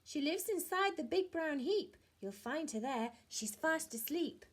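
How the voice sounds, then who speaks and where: A woman's voice: high voice, animated, measured pace, voice pushed up. A woman voicing a chirpy, helpful female hen in a rhyming storybook.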